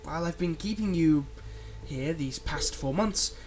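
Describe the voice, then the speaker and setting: A man playing a manipulative, soothing male villain in an ASMR roleplay, speaking in a soft, coaxing and dramatic way with deliberate pauses. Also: fairly high pitch, slight background noise. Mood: vengeful, intense. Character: manipulative, soothing male supervillain, tenderly possessive of his captive.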